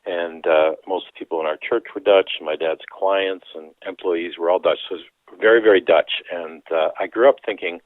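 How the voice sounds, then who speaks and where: A man's voice: sits low, steady tone, brisk, no room sound. A man in his sixties from Canada, a priest and author, giving reflective interview answers with frequent restarts. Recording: tinny phone-like line.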